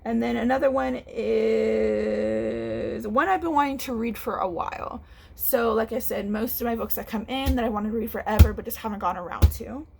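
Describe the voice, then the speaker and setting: A woman's voice: fairly high pitch, normal speed, creaky, darkish tone, slightly rough. A young woman, a book vlogger chatting casually at home, a little congested, rambling and restarting as she talks. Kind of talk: casual chat to camera. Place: home room.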